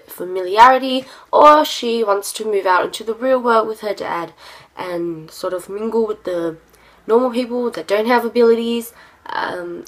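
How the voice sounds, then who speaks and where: A woman's voice: medium pitch, a bit bright, low-quality recording. Young woman with a cold and a nasal voice, rambling casual book talk with lots of ums, desk camera in a small bedroom. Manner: rambling with many ums and self-corrections. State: sick, nasal voice. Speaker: book vlogger. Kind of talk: casual chatty book haul. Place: small bedroom with bookshelves, indoors.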